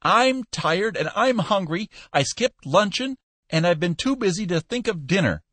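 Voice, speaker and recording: A man's voice: sits high, sing-song, normal speed, a bit bright, dry recording, pitched above the performer's own voice, heavily acted. A man voicing an exhausted, frantic, insistent salesman in a storybook. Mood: raving, weary. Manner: insistent, demanding, raving. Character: exhausted, frantic, determined young war-veteran salesman.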